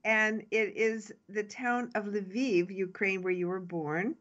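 A woman's voice: medium pitch, takes it slowly, a bit bright. A woman hosting a talk show, reading her introduction in an upbeat way and stumbling a little. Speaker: radio show host. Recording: slightly dull, lo-fi sound.

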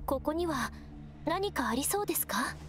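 Polite high-voiced young woman scout. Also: lively intonation, over music. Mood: cautious. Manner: polite, measured, softly earnest. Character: gentle, earnest young woman scout, solemn and perceptive. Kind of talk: Japanese anime game voice acting.

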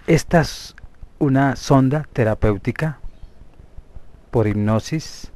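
A man with a Colombian Spanish accent leading a guided hypnosis meditation as a therapist, speaking deliberately in short, separated phrases. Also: mid-range voice, a little mellow. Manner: slow, deliberate, pausing between short phrases.

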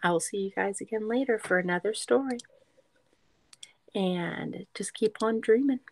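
A young woman reading a picture book aloud for small children, expressively, pausing to put questions to her listeners. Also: medium pitch, gravelly, slight background noise. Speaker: children's story reader.

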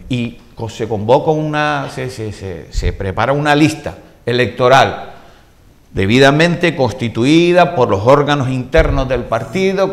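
A man in his sixties with a Canarian accent, arguing his point insistently on a TV studio panel and repeating himself to hold the floor. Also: mid-range voice. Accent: Canarian Spanish. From Canary Islands. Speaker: debate panelist. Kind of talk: heated political debate. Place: TV studio set. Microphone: lapel mic.

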